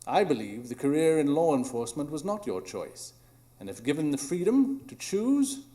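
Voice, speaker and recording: A man's voice: fairly high pitch, very animated, normal speed, mellow. A man playing a brilliant, coolly analytical detective with a formal, precise manner in a live radio drama. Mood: coolly deductive. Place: small improv theatre stage.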